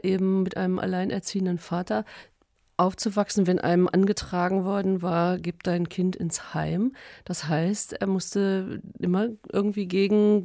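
A woman interviewer asking probing questions that gently lead her guest. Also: medium pitch, no echo, studio quality. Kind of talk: radio interview.

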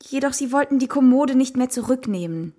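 Husky, guilty, evasive mother. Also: high-pitched, expressive, a little fast, warm tone. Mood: defensive, wistful. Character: evasive, guilty mother confessing to her husband. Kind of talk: radio play dialogue for children.

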